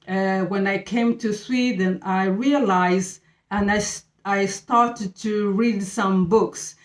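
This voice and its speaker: A middle-aged woman from Brazil with a Brazilian Portuguese accent, hosting her channel and sharing a faith talk in halting English, often pausing with fillers as she searches for words. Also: medium pitch. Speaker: channel host. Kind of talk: casual faith talk to camera. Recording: echoey room.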